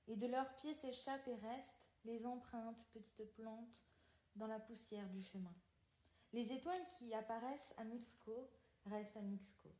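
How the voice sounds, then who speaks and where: A woman reading literary poetry aloud in a deliberate, declamatory way with measured pauses. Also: on the high side, warm tone. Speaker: podcast reader. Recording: roomy, echoing sound.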